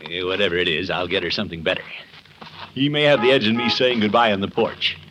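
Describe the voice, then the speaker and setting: An actor playing a blustery, competitive middle-aged water commissioner who mutters gruff teasing asides in a 1950s radio comedy. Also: male voice, lowish voice, steady tone, quick pace, noisy background, natural voice. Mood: determined. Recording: muffled old 1950s radio recording.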